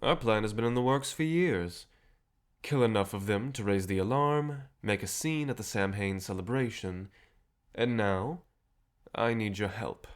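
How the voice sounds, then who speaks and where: An actor playing a cheerful, self-assured, century-old vampire rebel leader in an audio drama, courteous and persuasive with a theatrical manner. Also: male voice, lowish voice, a little slow, lightly acted. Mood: determined, persuasive. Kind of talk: scripted audio drama acting.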